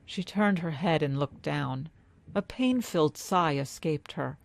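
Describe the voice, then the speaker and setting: A woman storyteller reading scripted fantasy narration in a measured, dramatic way. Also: low-pitched, expressive, vocal fry. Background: music.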